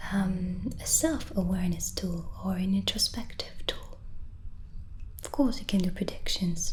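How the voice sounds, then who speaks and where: Slow whispering gentle witch, played by a woman. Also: on the low side, natural voice. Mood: gentle, musing. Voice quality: soft, breathy whisper. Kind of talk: soft-spoken whispered ASMR roleplay.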